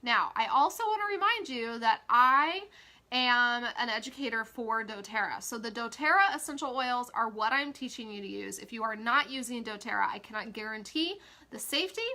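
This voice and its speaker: A woman's voice: a little high, lively intonation, normal speed, bright, forceful tone. A woman with a stuffy, congested voice chatting casually as an essential oil educator, with frequent fillers. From Kansas, USA. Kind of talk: casual social media live talk.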